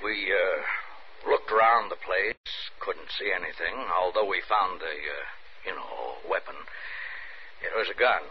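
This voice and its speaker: A fairly low male voice in vintage radio drama. a kindly, clumsy sheriff's officer, halting and gently apologetic. The 1950s recording is dull, with light noise behind him. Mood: halting, uneasy. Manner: halting, fumbling, soothing. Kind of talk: 1950s radio naturalistic acting.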